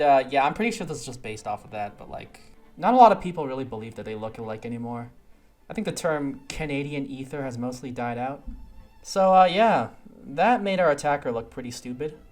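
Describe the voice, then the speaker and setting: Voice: medium pitch, darkish tone. A casual, conversational, opinionated video game reviewer giving improvised commentary on a quest. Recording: voice over background music. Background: music.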